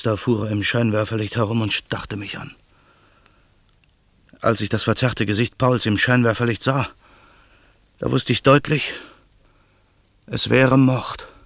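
A brooding avenger in a radio play, speaking his inner monologue slowly and deliberately. The voice is deep and gravelly with a husky edge. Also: fairly bright tone, no room sound, heavily acted. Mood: shaken. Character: grim man plotting revenge, brooding. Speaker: narrator and lead character.

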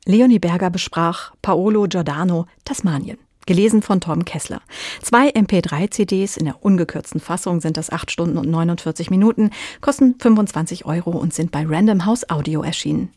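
A woman's voice: fairly low pitch, fairly quick, dark tone, no room sound, studio quality. A woman with a standard German accent presenting a radio programme, with scripted announcements and questions to a colleague. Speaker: radio host. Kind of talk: scripted presenting and studio dialogue.

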